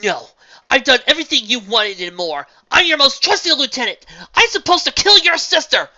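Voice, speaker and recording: Reader doing a high, shrill, fanatical young mare soldier, storybook audiobook, a bit lo-fi. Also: animated, moderate pace, heavily acted. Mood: anguished. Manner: shrill, desperate, often shouting.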